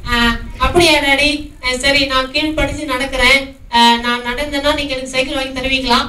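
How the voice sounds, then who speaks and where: A woman's voice: high voice, flat delivery, fairly quick, sharp tone, faint music behind, voice pushed up. Woman doing a whiny little boy puppet, sneaky kid, far off in an echoey hall. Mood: pleading. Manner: childish pleading, whiny. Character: mischievous young boy Tinku, sneaky but eager. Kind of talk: puppet show for children. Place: prayer house hall. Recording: echoey hall, distant mic, noisy.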